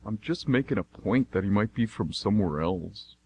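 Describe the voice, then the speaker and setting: A man's voice: on the low side, expressive, normal speed, a little mellow, a little hiss or hum. A man playing a sarcastic, skeptical and somewhat nervous friend who wisecracks, in an amateur radio drama. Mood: skeptical, uneasy.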